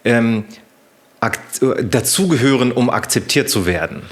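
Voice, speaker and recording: A man's voice: mid-range voice, lively intonation, normal speed. A man of thirty hosting a podcast interview, asking questions hesitantly with many fillers and restarts. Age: 30s. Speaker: podcast host.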